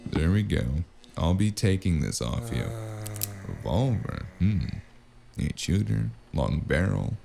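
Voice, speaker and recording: A male actor playing a cynical, wisecracking investigator in a noir audio drama, with a gravelly voice and a dry, menacing delivery. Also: low-pitched, dark tone, a little hiss or hum, heavily acted. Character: cynical, wisecracking hardboiled spacer investigator with magic. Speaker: voice actor playing the lead and narrator.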